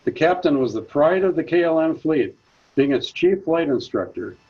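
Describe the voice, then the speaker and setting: A man's voice: a little high, animated, slightly rough, dull audio. A man presenting a prepared talk at a senior center, reading steadily from his notes. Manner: measured, reads from notes.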